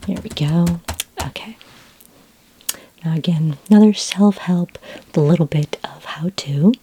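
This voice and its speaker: A woman speaking in a soft, breathy, whispery voice for an ASMR roleplay, playing a friendly, patient librarian who talks gently, helpfully and without hurry. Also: fairly low pitch, slight background noise. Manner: gentle, unhurried, helpful, conversational. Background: computer keyboard typing.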